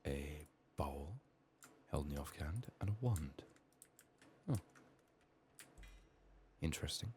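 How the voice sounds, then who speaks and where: Male ASMR gamer, extremely low voice, hushed and whispery, slightly strained as if under the weather, thinking aloud over faint noise. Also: animated, takes it slowly, long pauses. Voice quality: soft-spoken, often dropping to whispers. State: sick, strained voice. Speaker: ASMR gameplay streamer. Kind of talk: soft-spoken ASMR game playthrough. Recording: faint noise, keyboard typing and clicks. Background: keyboard typing, clicking, faint noise.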